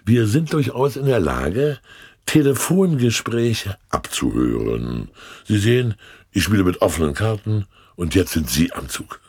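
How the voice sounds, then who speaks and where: A man's voice: lowish voice, sing-song, a little slow, a little mellow, breathy edge, dry recording, full character voice. An actor playing a sly, condescending state official in a German radio play, suave and mockingly polite as he questions people. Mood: sly, probing.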